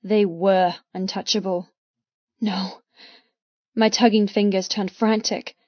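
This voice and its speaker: A woman's voice: medium pitch, steady tone, slow, no room sound, lo-fi sound. A woman reading first-person fiction as an audiobook narrator, with an intense, dramatic delivery in short phrases.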